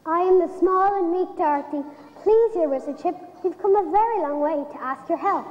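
A woman's voice: very high voice, a little fast, dull audio, voice pushed up. A woman voicing a meek, polite little girl who pleads for help. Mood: pleading. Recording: echoey hall with noise.

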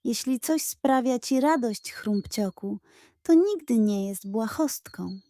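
Woman as a kindly high-voiced duck café owner. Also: expressive, slow, no echo. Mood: warm, reminiscent. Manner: gentle, encouraging.

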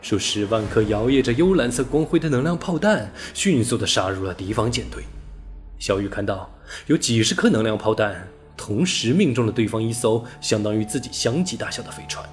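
Low-voiced male audiobook narrator. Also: expressive, fairly quick, soft background music. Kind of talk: scripted sci-fi novel narration.

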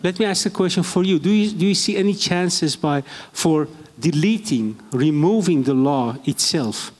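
A man's voice: on the high side, expressive, moderate pace, darkish tone. Man moderating a stage panel. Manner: brief backchannels, loose questions. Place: theater stage before an audience. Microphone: handheld mic.

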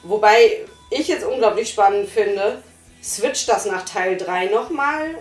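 A tired young woman reviewing a radio drama in casual chat, measured and thoughtful, sitting on a sofa. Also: mid-range voice. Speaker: podcast reviewer. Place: living room, sitting on a sofa. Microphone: far camera. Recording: roomy, far from the mic, faint music.

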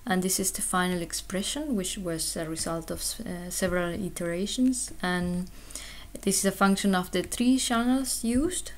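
A woman with a slightly low voice presents scientific research in an explanatory way. Her delivery is hesitant, broken by frequent pauses and ums as she works through technical material. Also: a little hiss or hum.

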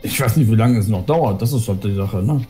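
A man's voice: on the low side, fairly quick, mellow, faint music behind. A young man with a cold, grumbling and exasperated as a co-op gaming partner. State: has a cold. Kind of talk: casual gaming chat.

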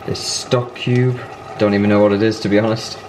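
A man's voice: fairly low pitch, level tone, brisk. A young man in a home kitchen, chatting casually and with self-mocking bemusement as he fumbles through a recipe. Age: young adult. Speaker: vlogger. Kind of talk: casual cooking vlog. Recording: cooking noise behind.

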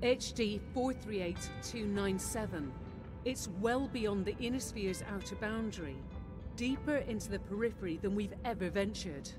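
An actress voicing a steady, loyal female officer in a video game, giving briefings in a measured, serious way. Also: medium pitch, lightly acted. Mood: wary, serious. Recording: music behind the voice.

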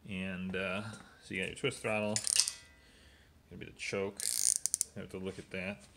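A man hosting a casual hands-on walkthrough, thinking aloud in a halting way, recorded on a handheld phone in a garage. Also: low voice, little pitch movement, creaky, heavy background noise. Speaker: host showing a repair project. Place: indoors in a garage. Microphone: handheld phone camera, off screen.

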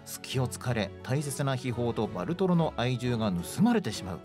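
A male radio host narrates a synopsis from a script. His medium-pitched voice moves expressively, and music plays underneath. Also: sing-song, moderate pace. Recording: music behind the voice.

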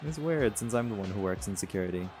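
A man's voice: lowish voice, animated, fast talker, rough recording. A man voicing a chill, cocky male jaguar shifter who is a pack alpha, talking in a teasing, laid-back way. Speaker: audio roleplay performer. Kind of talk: scripted audio roleplay monologue. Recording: music playing behind. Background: party music.

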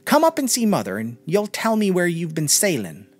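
A man plays a little boy in a storybook reading, curious and innocent. The voice is pitched up and very animated, eager to chat. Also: normal speed, slightly rough, faint music behind, exaggerated voice. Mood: friendly, open.

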